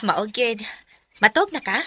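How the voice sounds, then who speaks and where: An actress with a Visayan Filipino accent playing a playful co-worker friend in a radio drama. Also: female voice, on the high side, sing-song, a little slow, pushed and bright, a little hiss or hum, exaggerated voice. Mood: chummy. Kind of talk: Cebuano radio drama acting.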